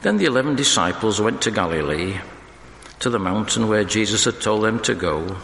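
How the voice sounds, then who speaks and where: A husky man, reading scripture and leading prayer in a measured, solemn way. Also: fairly low pitch, animated, fairly bright tone. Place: church. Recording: echoey church with faint background noise.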